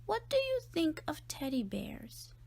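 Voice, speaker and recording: A woman's voice: sits high, wildly swooping pitch, a little slow, gravelly, darkish tone, pitched above the performer's own voice, heavily acted. A woman voicing a young boy in a children's storybook, earnest and insistent, anxious underneath his bravado. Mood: uneasy. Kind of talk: children's storybook read-aloud.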